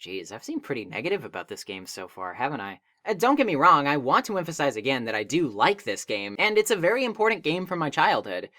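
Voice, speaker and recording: A woman's voice: low voice, very animated, quick pace, hardly any pauses, fairly bright tone. A woman narrating a scripted video essay in a wry, conversational and articulate way. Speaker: video essayist, game reviewer. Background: background music.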